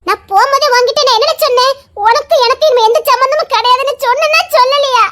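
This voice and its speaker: A woman's voice: very high voice, fast talker, pushed and bright. A woman playing a fiery young sweetheart who scolds and threatens her lover while laughing. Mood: scolding. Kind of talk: amateur romantic drama.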